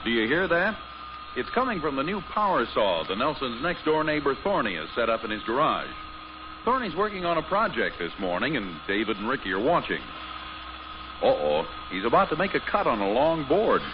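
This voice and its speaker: A man's voice: mid-range voice, sing-song, fairly quick, background noise. A man announcing and narrating an old radio comedy in 1950s style. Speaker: show announcer. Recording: dull old radio broadcast recording.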